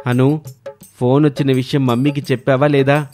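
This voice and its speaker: Man acting coaxing young husband, mid-pitched. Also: flat delivery, moderate pace, a little mellow, soft background music, lightly acted. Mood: reassuring, coaxing. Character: young husband, reassuring yet proud of wealth. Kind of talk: dramatized moral story dialogue.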